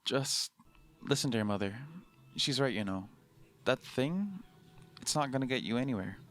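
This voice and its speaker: A man playing a practical, detached father in scripted animated drama dialogue, with an understated manner. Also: medium pitch, normal speed, natural voice. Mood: resigned. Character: practical, detached father favoring sensible careers.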